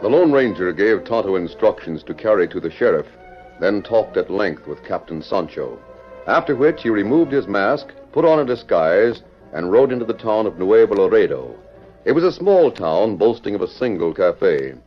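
A man's voice: on the low side, sing-song, normal speed. A man narrating a vintage radio western from a script in a formal, dramatic storytelling manner. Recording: muffled old radio recording. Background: music.

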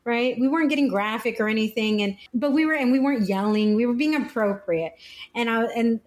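A woman in her forties with a loud voice, co-hosting a casual chat and telling animated stories. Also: a little high, fairly quick. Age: 40s. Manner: chatty, animated storytelling. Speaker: podcast co-host.

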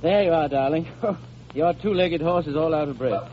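A man playing a devoted, sorrowful father in a vintage American radio drama, speaking earnestly and tenderly, with a halting delivery. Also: a little high, close to an everyday voice. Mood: cheerful, playful. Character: devoted, sorrowful father shielding a dying child with fairy tales. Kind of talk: 1930s-40s American radio drama acting. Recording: muffled old radio recording with hiss.

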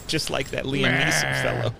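A man's voice: medium pitch, fairly even intonation, moderate pace, gravelly, bright, forceful tone, slight background noise. A man playing a tabletop game, tossing in casual wisecracks among friends.

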